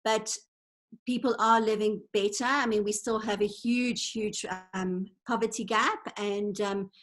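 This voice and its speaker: A woman's voice: mid-range voice, steady tone, a little slow. A woman in her forties from Zimbabwe, telling stories in an earnest, reflective way as an interview guest on an online call. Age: 40s. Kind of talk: casual conversation. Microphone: video call.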